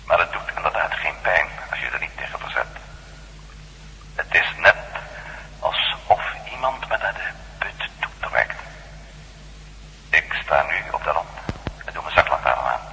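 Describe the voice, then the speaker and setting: A resolute ex-astronaut reporter in an old radio play, low voice, earnest, bit of hiss. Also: male voice, sharp tone, long reverb tail, heavily acted, poor audio. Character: determined male former astronaut turned reporter, dry and steady. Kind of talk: radio drama acting. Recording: faintly hissy old radio drama recording.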